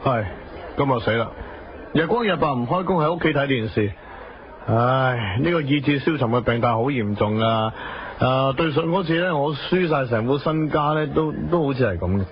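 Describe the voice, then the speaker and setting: A man's voice: medium pitch, lively intonation, normal speed, a little hiss or hum, close to an everyday voice. Casting: man as comic Hong Kong sidekick for radio drama, mid-range voice, theatrical grumbler full of exclamations; muffled recording. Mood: theatrical, joking. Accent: Hong Kong Cantonese. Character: grumbling, wisecracking male pal, a broke gambler. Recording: dull lo-fi radio audio with music.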